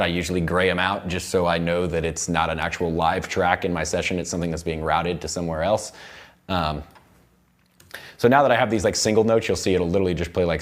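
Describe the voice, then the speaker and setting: A man teaching music production in an informal tutorial, rambling loosely and thinking aloud as he works. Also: low-pitched. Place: indoor recording studio.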